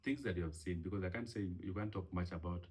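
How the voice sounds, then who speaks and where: Man, Kenyan accent, very low voice, rapid talk, interview host. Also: a little mellow, slightly rough. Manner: prompting questions with loose restarts. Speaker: podcast host. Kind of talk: casual interview.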